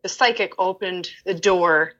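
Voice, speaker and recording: A woman's voice: fairly low pitch, unhurried, a bit bright, dull audio. A woman guest candidly telling a personal story in conversation, emphatic and acting out what people said to her. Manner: open, emphatic storyteller who acts out quotes.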